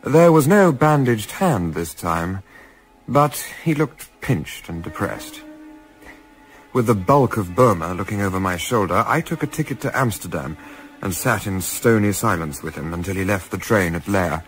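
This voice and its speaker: A man playing a composed, astute Edwardian gentleman turned amateur spy, speaking formally in a radio play as narrator and lead. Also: sits low, animated, moderate pace, soft background music, rough recording. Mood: sombre, reflective. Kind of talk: radio play acting with first-person literary narration.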